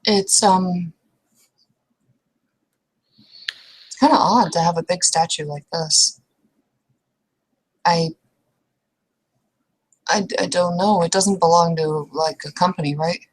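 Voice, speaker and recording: A woman playing a quiet, distracted, naively curious woman in improvised roleplay, speaking hesitantly and questioningly. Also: on the low side, a little slow, pauses often, poor audio. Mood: puzzled. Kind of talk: improvised in-character roleplay dialogue.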